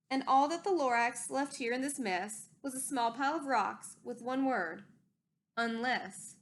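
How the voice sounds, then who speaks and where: High-voiced woman reading a storybook. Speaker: teacher reading aloud. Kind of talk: expressive storybook read-aloud.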